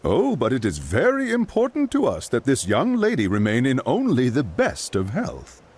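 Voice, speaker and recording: An actor playing a sly, fawning male aide to a count, with an oily, wheedling manner and a husky voice. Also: wildly swooping pitch, normal speed, a little mellow. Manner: oily, wheedling, pitch swooping widely. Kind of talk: dubbed video game cutscene.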